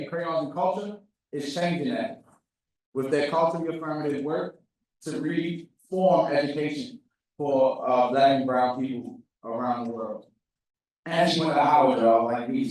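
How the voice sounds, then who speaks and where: A man's voice: on the high side, darkish tone. Man emcee, chatty and casual, faint background noise, far from the mic. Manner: casual, chatty, addresses crowd as y'all. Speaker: event host introducing presenters. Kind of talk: live emcee introduction. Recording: echoey hall, distant mic, faint noise.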